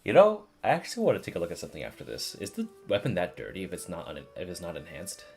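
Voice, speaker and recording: A man streaming a game with casual, joking, excitable reactions and plenty of swearing. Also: sits low, fast, creaky, faint music behind. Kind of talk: casual reaction commentary over gameplay.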